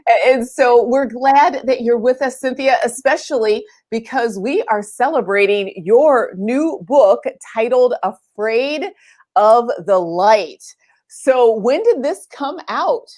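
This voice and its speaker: A woman's voice: fairly high pitch, lively intonation, slow pace, darkish tone. A woman hosting a casual live online chat, informal and chatty, addressing her viewers directly as she goes.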